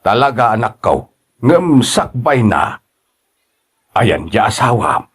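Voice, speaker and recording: A man's voice: medium pitch, big pitch swings, takes it slowly, a bit bright, husky, exaggerated voice. A man with an Ilocano accent playing an older father in an audio drama, drawing out his words as he chides his son. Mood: reporting, insistent.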